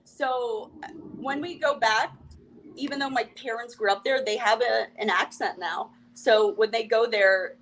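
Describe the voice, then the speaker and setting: A woman's voice: fairly high pitch, fairly even intonation, measured pace, fairly bright tone, a little hiss or hum, low-quality recording. Chatty Ukrainian-born woman with a Russian accent. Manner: wry, chatty, laughs often. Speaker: comedian guest. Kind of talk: casual interview chat.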